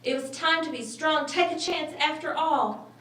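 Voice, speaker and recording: A woman with a fairly high voice reads a children's story aloud. Her phrasing is expressive and dramatic. The room echoes and she sits a little back from the mic, with faint noise. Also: moderate pace, far from the mic. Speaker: storybook reader.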